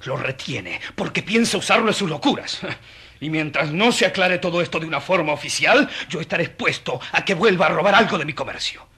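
A stern merchant father for radio drama. His voice is fairly high and bright, with a strong rasp. He scolds indignantly and hammers each grievance. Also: expressive, fairly quick, heavily acted. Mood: angry. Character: stern, irate, money-minded merchant father. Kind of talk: Spanish-language radio drama acting.

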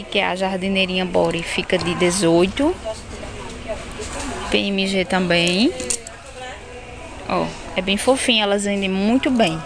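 A woman from northeastern Brazil with a Pernambuco accent, filming a walkthrough of her baby clothing shop on a phone and listing prices in a chatty way. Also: mid-range voice. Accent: Pernambuco Portuguese. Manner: chatty, lists prices quickly, addresses viewers as "gente". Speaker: wholesale shop owner. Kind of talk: casual product walkthrough. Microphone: phone camera held while filming.

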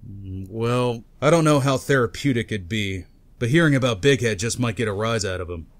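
A man's voice: mid-range voice, lively intonation, normal speed, natural voice. A man playing a chatty, casual tough guy in a comic book dub. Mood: subdued, casual. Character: chatty, weary male police officer; also glib crook.

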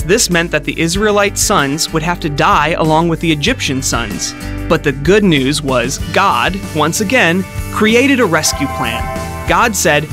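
A man giving a scripted, upbeat storytelling narration for an animated children's Bible video. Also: a little high. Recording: studio voice-over with music underneath.

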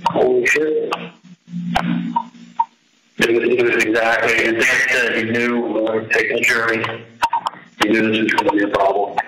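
A middle-aged man speaks in a flat, even voice over a jail phone line with clear noise and echo. His casual talk rambles and halts as he goes. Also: mid-range voice, moderate pace, pauses often. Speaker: jail inmate on a phone call. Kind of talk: casual private phone conversation. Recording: noisy, echoey recorded jail phone call.

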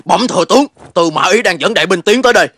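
An actor dubbing an anxious, deferential army officer in an animated historical drama, speaking formally and urgently. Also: male voice, sits high, very fast, no echo, faint music behind, full character voice. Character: anxious, deferential male army officer reporting to his lord.

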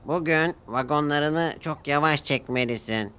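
Man doing an eager self-important little cartoon engine, raised pitch, slow bossy orders. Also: pushed and bright, smooth. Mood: proud. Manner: proud, bossy.

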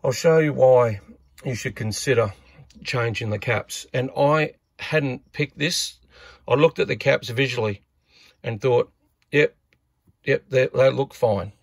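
Male hobbyist presenter, husky with some gravel, unpolished and hesitant, talking through hands-on repair work. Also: medium pitch, slow pace, no echo. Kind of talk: casual hands-on repair commentary. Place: indoors at an electronics workbench.

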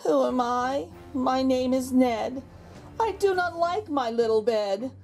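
A woman reading a picture book aloud to young children, with expressive, exaggerated intonation and drawn-out words. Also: sits high. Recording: background music under the voice. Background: music.

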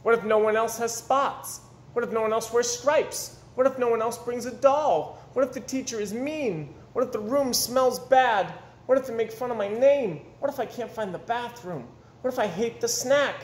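A man's voice: very high-pitched, moderate pace, voice pushed up. A man voicing an anxious little girl mouse who frets and questions in a picture-book story. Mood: worried, fretful. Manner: fretful, questioning. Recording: roomy echo, some background noise.

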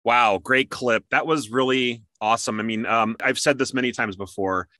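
A man's voice: medium pitch, steady tone, normal speed, pushed and bright, soft background music. A man hosting a casual interview in an enthusiastic, conversational way. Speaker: interview host.

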